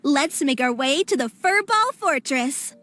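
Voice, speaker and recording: A woman's voice: high-pitched, animated, unhurried, sharp tone, heavily acted. A woman voicing an earnest, eager, easily flustered young animal lover in a video game. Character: earnest, anxious young female cat-shelter founder. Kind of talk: English video game voice acting. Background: faint music.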